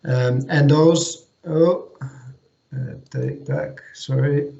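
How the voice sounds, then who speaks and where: A slow-speaking man delivers an online technical presentation, halting often and restarting his sentences. His voice sits a little high, and it comes through echoey, muffled video-call audio. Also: fairly even intonation, creaky, warm tone. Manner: hesitant, many ums and restarts. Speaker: technical manager presenting at a seminar.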